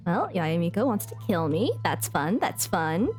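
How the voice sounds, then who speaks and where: A woman chatting casually as she livestreams a game, playful and expressive, often mock-scolding. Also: lowish voice, moderate pace. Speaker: game streamer. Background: game music.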